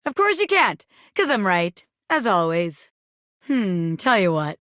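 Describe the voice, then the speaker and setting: A woman's voice: medium pitch, sing-song, unhurried, fairly bright tone, no room sound, clean studio sound. A woman voicing a cocky, self-important bobby pin who fancies itself a crime boss in a video game, swaggering, slangy and boastful. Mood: brash, excited. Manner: swaggering, slangy, boastful, peppering talk with pet phrases. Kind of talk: video game character voice acting.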